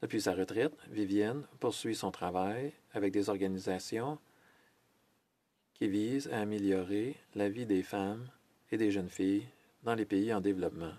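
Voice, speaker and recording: A man giving a simultaneous interpretation of a formal parliamentary speech, measured, with hesitations and self-corrections. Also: lowish voice, dark tone, no echo. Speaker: French interpreter of a Senate speech.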